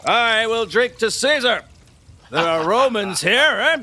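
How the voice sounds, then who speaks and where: A man's voice: high voice, animated, a little slow, pushed and bright, heavily acted. A man acting a pompous, lecherous, superstitious king in an audio play, grandiose and rambling. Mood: grand, jovial.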